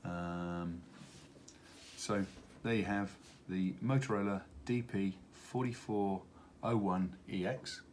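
Low-voiced man presenting, noisy recording. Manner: halting, with many hesitations. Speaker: product presenter for a radio retailer. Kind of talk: unboxing demonstration, unscripted product walkthrough. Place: indoors at a wooden table in an office. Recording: steady background room noise.

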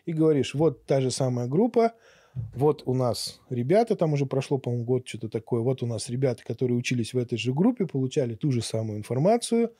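A man's voice: mid-range voice, expressive, fast talker, mellow, husky, dry recording. A middle-aged man talking conversationally as a podcast guest, explaining things through anecdotes, recorded close on a studio microphone. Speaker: podcast guest, barber and teacher. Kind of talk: conversational interview talk. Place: small acoustically treated podcast studio. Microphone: boom-mounted studio microphone up close.

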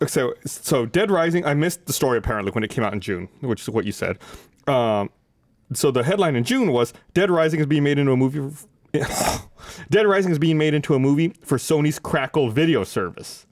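A man's voice: a little high, expressive, brisk, dry recording, clean studio sound. A man, chatting casually about gaming news as a podcast host. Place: indoor podcast studio. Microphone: close studio microphone on desk.

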